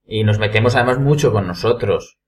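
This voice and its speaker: A man's voice: lowish voice, brisk. A man with a Castilian Spanish accent joining a casual podcast discussion. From Spain. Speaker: podcast panelist.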